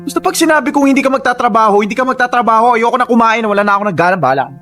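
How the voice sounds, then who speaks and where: Stubborn filipino husband in a radio drama, proud and controlling, soft music behind. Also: male voice, extremely high pitch, lively intonation, racing, exaggerated voice. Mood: angry. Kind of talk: Tagalog radio drama acting. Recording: music under the voice.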